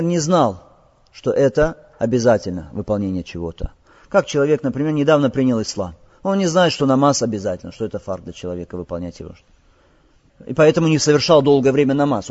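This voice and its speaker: Mellow husky male lecturer in echoing hall. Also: medium pitch, big pitch swings, brisk. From Crimea. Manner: keeps asking rhetorical check-in questions; recites passages in Arabic. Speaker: religious teacher. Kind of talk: explaining hadith in a lecture. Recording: dull lo-fi audio with long hall reverb.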